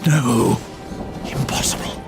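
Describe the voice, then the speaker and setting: An actor playing a smug, scheming undead chancellor in a video game, pompous, sneering and mock-polite. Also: male voice, takes it slowly, close to an everyday voice. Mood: disdainful. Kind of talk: video game cutscene acting. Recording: loud music under the voice.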